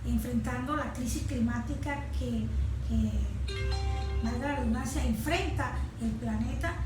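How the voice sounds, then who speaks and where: High-voiced Venezuelan woman, very echoey. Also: measured pace, over music. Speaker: guest, environmental advocate. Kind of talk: radio interview, explaining proposals. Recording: very echoey room, clear background noise.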